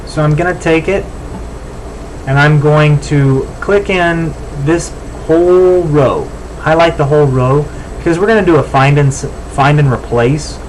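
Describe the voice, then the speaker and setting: A man's voice: on the high side, poor audio. American man giving instructions over background noise. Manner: informal, step-by-step. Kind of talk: software walk-through tutorial.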